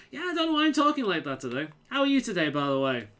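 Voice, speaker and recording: A man with a light Liverpool accent chats casually as he plays, his voice bright and fairly high. His pitch swings freely from phrase to phrase. He keeps repeating words and restarting his sentences. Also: wildly swooping pitch, fast. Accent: mild Liverpool. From England. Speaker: game streamer. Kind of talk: casual chat while playing.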